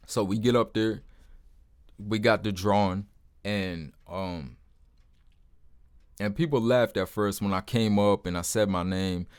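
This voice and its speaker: A deep-voiced man talks straight to his viewers in a casual, plain-spoken way. His speech is blunt and informal, with words like "man" scattered through. His voice sits low. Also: fairly even intonation, measured pace, pauses often, smooth. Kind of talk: casual testimony to camera.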